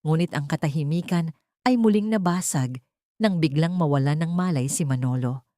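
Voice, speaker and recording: Low, mellow woman narrating drama. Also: moderate pace, no room sound, studio quality. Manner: solemn, dramatic. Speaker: audiobook narrator. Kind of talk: scripted dramatic story narration.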